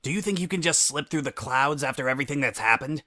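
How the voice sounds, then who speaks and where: A man voicing a stern, angry young female cartoon pony in a storybook reading, forceful and demanding. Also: medium pitch, fast, voice pushed up, natural voice.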